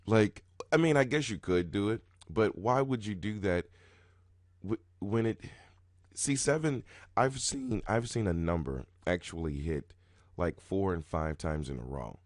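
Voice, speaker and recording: Deep-voiced male host, groggy morning voice, rambling with restarts, dry close sound. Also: lively intonation, measured pace, no echo. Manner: rambling, repetitive, many restarts, sudden jumps in pitch and loudness. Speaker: roulette strategy video host. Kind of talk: casual talking-head explanation.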